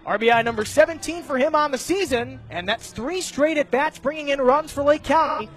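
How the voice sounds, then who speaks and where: An American man doing live radio play-by-play of a baseball game, describing each pitch and play in detail. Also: fairly quick, soft background music. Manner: detailed, narrates each pitch, animated on big plays.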